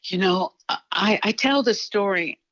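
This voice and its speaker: Woman, 70s, slight Scottish accent, gentle storyteller, dull lo-fi audio. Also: medium pitch, animated, unhurried, no room sound. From Scotland. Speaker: guest artist. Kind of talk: podcast interview.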